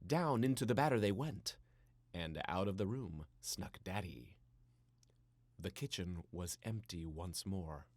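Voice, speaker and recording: A man reading a scripted children's story in a playful, theatrical way with dramatic pauses. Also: low voice, animated, a little mellow, no echo. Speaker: storybook narrator.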